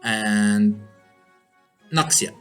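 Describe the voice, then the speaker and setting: A man's voice: on the low side, drawn-out words, clear, resonant tone, soft background music. A man from Brazil whose first language is Portuguese, speaking English with a Brazilian Portuguese accent as he chats through viewer questions in an upbeat way. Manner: chatty and upbeat, laughs often. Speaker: gaming YouTuber answering viewer questions. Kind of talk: casual Q&A chat.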